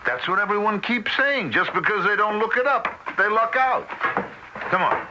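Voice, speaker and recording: Insistent husband, sharp excitable voice. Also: male voice, high voice, moderate pace, exaggerated voice, poor audio. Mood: coaxing, confident. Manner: emphatic, pressing. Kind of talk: old-time radio drama acting. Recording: hissy vintage radio play recording.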